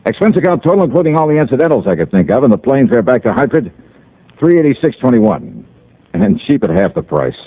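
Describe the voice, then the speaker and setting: A man's voice: medium pitch, wildly swooping pitch, fast talker, creaky, heavily acted. An actor playing a confident, sardonic male insurance investigator who narrates a 1950s radio drama in a wry, assured way. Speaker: lead and narrator. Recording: tinny lo-fi old radio recording.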